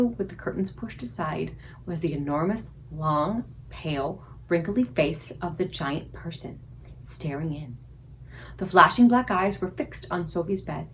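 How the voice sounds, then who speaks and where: A woman reading a children's story aloud expressively, with dramatic, suspenseful emphasis and deliberate pauses. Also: medium pitch, a little hiss or hum, low-quality recording. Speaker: librarian reading aloud for a book club.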